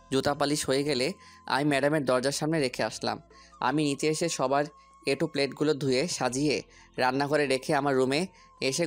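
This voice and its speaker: Man as a humble young servant, mid-pitched. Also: natural voice. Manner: polite, deferential. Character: humble, dutiful young male servant and driver. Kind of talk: Bengali audio story acting and first-person narration. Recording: music bed under voice.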